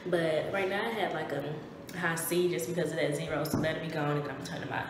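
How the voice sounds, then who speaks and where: A young woman in her twenties chatting casually in a vlog from her dorm room, her voice hoarse, filmed on a phone held at arm's length. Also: low voice, fairly even intonation, fast. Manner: chatty, rambling, everyday. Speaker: vlogger. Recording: noisy phone recording.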